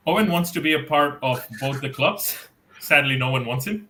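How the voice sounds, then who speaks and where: A man's voice: on the high side, expressive, moderate pace, sharp tone. A man co-hosting a casual football podcast, speaking hesitantly with many fillers. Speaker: podcast co-host.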